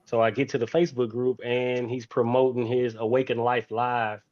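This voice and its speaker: A man's voice: medium pitch, flat delivery, moderate pace, a little mellow, lo-fi sound. A man, telling his own story as an interview guest in a reflective way, restating phrases for emphasis. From Fort Worth, Texas, United States. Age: 40s.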